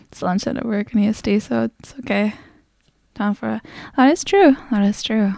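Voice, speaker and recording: A woman hosts a relaxed livestream in a soft ASMR style. Her voice is fairly high yet mellow, with a noticeable creak. She talks casually and gently, sometimes near a whisper, close to the microphone. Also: a little slow, no echo. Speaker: livestreamer greeting chat and hosting a game stream.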